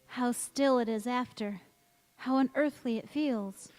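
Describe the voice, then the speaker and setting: Woman playing high-voiced romantic young bride, echoey hall. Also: unhurried, a little mellow, soft background music, lightly acted. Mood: anxious, romantic. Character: sweet, sentimental young bride-to-be, romantic and anxious. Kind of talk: golden age radio drama acting. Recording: echoey church hall stage recording.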